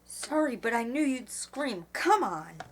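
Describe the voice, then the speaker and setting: A woman voicing a calm, weary, wry father in a storybook, with an understated manner. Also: fairly high pitch, measured pace, slight background noise, pitched above the performer's own voice. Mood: hushed urgency.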